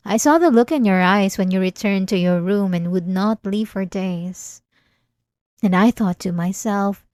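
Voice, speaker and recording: A woman playing an obsessed young maid in a scripted ASMR roleplay, devoted and quietly menacing, with formal, composed, intimate delivery. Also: medium pitch, no echo, clean studio sound, close to an everyday voice. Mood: cold, resolute.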